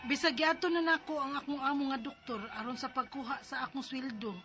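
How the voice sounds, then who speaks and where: A woman with a high voice narrates a dramatized life story in the first person. She speaks plaintively and confidingly, as if sharing her troubles. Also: steady tone, a little fast, over loud music. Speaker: storyteller narrating as the letter-sender.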